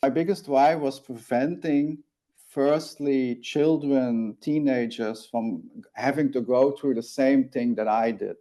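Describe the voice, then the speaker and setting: Earnest Belgian man, mellow voice. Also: mid-range voice. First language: Dutch. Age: 40s. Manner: earnest, halting with frequent restarts. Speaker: guest. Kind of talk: interview, personal story.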